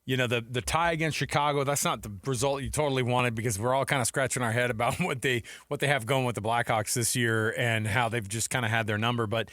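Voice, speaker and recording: Male American sports talk host, medium pitch, casual delivery, close dry studio sound. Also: steady tone, fast, few pauses, a bit bright, no room sound.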